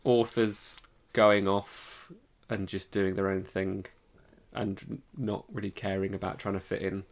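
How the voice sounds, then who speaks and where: Man, fairly low voice, podcast co-host, casual chat, laid-back, short replies. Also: flat delivery, measured pace. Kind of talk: casual discussion.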